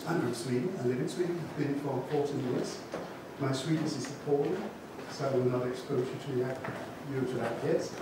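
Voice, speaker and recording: A man's voice: mid-range voice, steady tone, fairly quick, few pauses, far from the mic, noisy background. A middle-aged man with a Danish accent gives an informal seminar talk on a handheld mic to a seated audience, often correcting himself. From Denmark. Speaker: gene bank speaker. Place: large room with seated audience. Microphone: handheld mic, far camera. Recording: echoey room recorded from afar.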